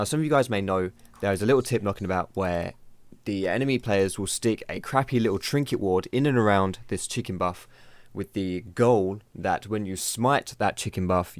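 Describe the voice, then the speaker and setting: A man with a slightly croaky, hoarse voice, casually talking through a gameplay tutorial, rambling and correcting himself as he goes. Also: low-pitched, no echo. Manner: chatty, rambling with self-corrections. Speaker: game tutorial host.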